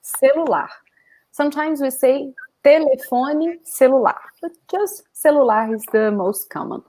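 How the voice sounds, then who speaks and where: Higher-pitched Brazilian woman teaching. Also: unhurried, dark tone, low-quality recording. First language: Portuguese. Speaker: language teacher. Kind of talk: informal live online lesson.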